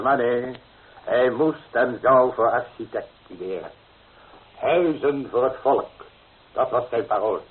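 A man's voice: medium pitch, close to an everyday voice. A man playing a proud, genial older priest and father in a radio play, indulgent in his way of talking. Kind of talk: Dutch radio play acting. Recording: tinny old radio recording.

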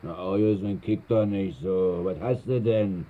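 Slow, boozy pub regular. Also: male voice, low-pitched. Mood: tipsy, teasing. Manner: slangy, teasing, drawling. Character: boozy, jovial working-class male Berlin pub regular. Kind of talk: 1960s radio drama acting. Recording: dull 1960s radio recording.

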